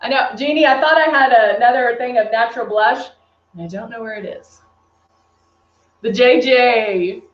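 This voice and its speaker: A woman's voice: a little high, moderate pace. A woman with a Texan accent, presenting a live makeup tutorial and chatting with viewers' comments as she works. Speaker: beauty brand presenter. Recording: echoey room, dull lo-fi stream audio.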